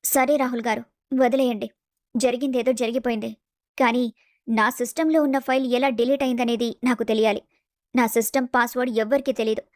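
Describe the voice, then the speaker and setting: A woman's voice: on the high side, fairly even intonation, no echo, studio quality, natural voice. A woman voicing a composed, principled young office worker in a story drama, speaking politely and firmly. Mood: calm, firm. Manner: polite, measured, firm. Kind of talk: dramatized family story voice acting.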